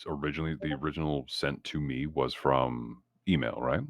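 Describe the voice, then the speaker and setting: A man's voice: rumbling low, fairly even intonation, normal speed, no room sound, clean studio sound. A man chatting casually as a player in a tabletop roleplaying game.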